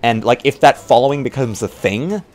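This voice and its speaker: A man's voice: mid-range voice, lively intonation, brisk. A man chatting casually on a livestream, rambling and hesitant, often repeating his phrases. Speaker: streamer and roleplay player. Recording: music playing behind. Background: music.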